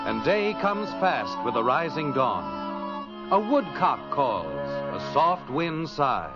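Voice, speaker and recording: A man playing an earnest young man who dramatically recites flowery poetry in an old-time radio show. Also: on the high side. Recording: dull old radio recording, loud music.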